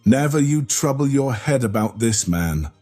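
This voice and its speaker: A man voicing a belligerent working-class Londoner, blunt and combative in rough dialect. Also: mid-range voice, lively intonation, a little slow, a little mellow, faint music behind, pitched above the performer's own voice. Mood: evasive, threatening. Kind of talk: audiobook character reading.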